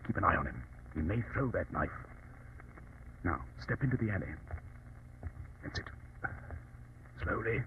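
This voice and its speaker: Classic radio sleuth: cool, authoritative, swooping intonation, on a muffled, hissy old recording. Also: male voice, low-pitched, lively intonation, a little slow, pauses often. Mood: tense, commanding. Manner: clipped, composed, commanding. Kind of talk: old-time radio drama acting.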